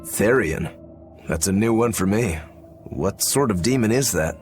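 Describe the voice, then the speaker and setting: An actor playing an easygoing male swordsman demon who loves drink, talking in a relaxed, teasing way in a video game cutscene. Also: on the low side, unhurried, faint music behind. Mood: casual. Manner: laid-back, teasing.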